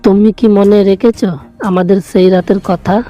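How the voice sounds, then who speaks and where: An actor playing a mysterious, soft-spoken long-lost lover in an audio drama, slow, cryptic and intense. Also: male voice, high voice, level tone, dull audio, music in the background, natural voice. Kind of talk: audio story drama acting.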